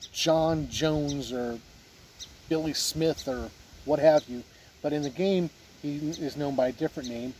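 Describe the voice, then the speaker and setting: A man giving an unhurried, deliberate review talk to camera, pausing often mid-sentence. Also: fairly high pitch, darkish tone. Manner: deliberate, halting delivery with pauses mid-sentence. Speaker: tabletop game reviewer. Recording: windy noise, outdoor-sounding ambience. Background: wind noise.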